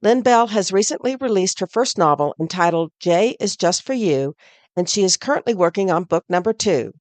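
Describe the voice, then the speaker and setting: A woman hosting a storytelling group, reading a clear, steady scripted outro. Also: lowish voice, moderate pace, dry recording.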